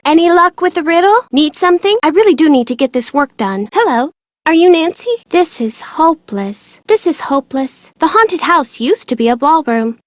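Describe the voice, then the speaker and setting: A woman's voice: high-pitched, no room sound, studio quality. A woman playing a bitter, cynical young office worker in a video game, sardonic and matter-of-fact. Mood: harried, dry.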